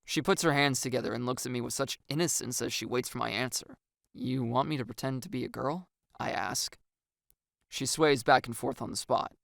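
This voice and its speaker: A narrator reading a first-person story with acted dialogue, in an expressive and wry storytelling manner. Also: mid-range voice, a little fast, creaky, dry recording, studio quality.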